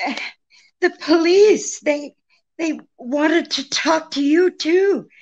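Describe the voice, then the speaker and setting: An actress playing an anxious, shaken dame in a radio detective drama, speaking haltingly. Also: female voice, sits high, highs cut off. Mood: frightened. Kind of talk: old-time radio drama acting.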